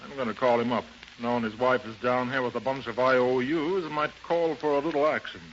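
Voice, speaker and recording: A man plays a hard-boiled American henchman from a vintage radio play. His medium-pitched voice is pushy and full of tough slang. The old recording is dull, with faint noise around him. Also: fairly even intonation, brisk, close to an everyday voice. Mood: menacing. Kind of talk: 1930s radio drama acting.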